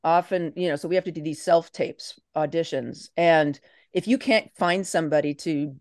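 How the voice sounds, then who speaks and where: A woman's voice: deep voice, moderate pace, a little mellow, dry recording. A woman speaks in a very dry, close recording with no room sound. She is a guest in a casual interview, explaining her craft step by step in a conversational way. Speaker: actress, podcast guest.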